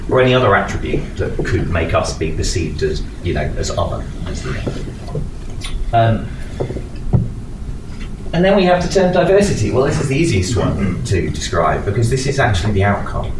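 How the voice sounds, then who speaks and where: Guy giving a meetup talk, lowish voice, lots of ums and restarts, echoey room, far from the mic. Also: background noise. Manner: hesitant, with many fillers and restarts. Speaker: meetup speaker. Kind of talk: conversational talk to a live audience. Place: meeting room with an audience. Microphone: distant room mic.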